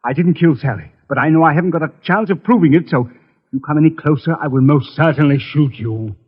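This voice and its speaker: Husky, high-strung accused man, vintage radio. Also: fast talker. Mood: threatening, nervous. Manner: agitated, protesting. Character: desperate, indignant husband accused of murder. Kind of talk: 1950s radio detective drama acting. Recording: dull old-time radio recording.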